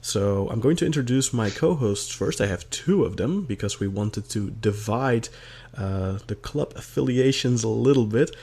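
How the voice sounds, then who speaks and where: A thirty-year-old man from Belgium hosting a casual football podcast, upbeat and quick, with the odd laugh. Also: lowish voice, a little mellow, husky. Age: 30s. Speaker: podcast host.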